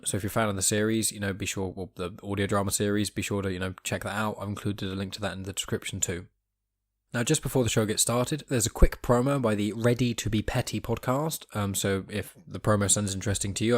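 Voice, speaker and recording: A man's voice: fairly low pitch, no echo, clean studio sound. A man hosting a podcast in a casual, chatty style, with informal asides. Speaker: podcast host. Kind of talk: casual chat.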